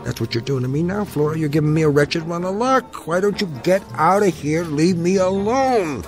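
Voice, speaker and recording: An actor playing an obsessed, irascible older husband in a radio drama, speaking in a pompous, ranting, formal way. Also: male voice, fairly high pitch, lively intonation, moderate pace, lightly acted. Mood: irritable, angry. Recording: radio drama with music underneath.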